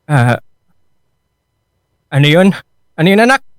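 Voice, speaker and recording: A man's voice: a little high, big pitch swings, unhurried, clean tone, no room sound, studio quality. An actor playing a middle-aged Filipino father in a radio drama, colloquial, grumbling and evasive. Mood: flustered. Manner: grumbling, colloquial, hesitant when cornered.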